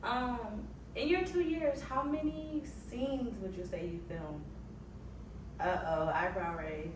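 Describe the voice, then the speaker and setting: A young woman hosts a casual interview, answering with brief upbeat reactions between questions. Her voice sits at a middling pitch and is heard from a distance in an echoey, noisy room. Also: slow pace. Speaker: interview host.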